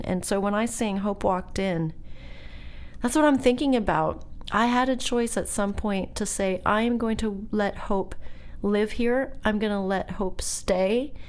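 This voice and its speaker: A woman's voice: medium pitch, darkish tone. A woman in her fifties hosting a podcast, chatting casually and confessionally, laughing often. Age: 50s. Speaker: podcast host. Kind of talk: casual chat.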